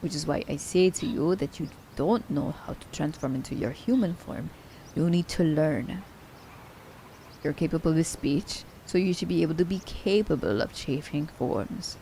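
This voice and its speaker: A woman acts out an immortal female assassin, sly and haughty, in an intimate ASMR drama. Her voice is lowish and mellow, with plenty of fry and a breathy edge. She speaks in a theatrical, old-fashioned formal way, teasing as she goes. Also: animated, normal speed, slight background noise, poor audio. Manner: theatrical, formal storybook diction. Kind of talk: ASMR roleplay audio drama.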